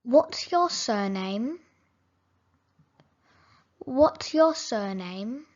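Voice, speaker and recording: Woman teaching english phrases super slow, kinda high voice, muffled sound. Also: expressive, a little mellow. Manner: each sentence said slowly and clearly, then repeated. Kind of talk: slow phrase reading for learners.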